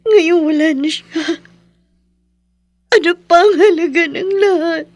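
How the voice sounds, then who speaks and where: An actress with a Filipino accent playing an emotional, haunted young wife, grieving and fearful, in a horror radio drama. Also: female voice, extremely high pitch, a little slow, no echo. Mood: sorrowful. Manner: emotional, pleading delivery.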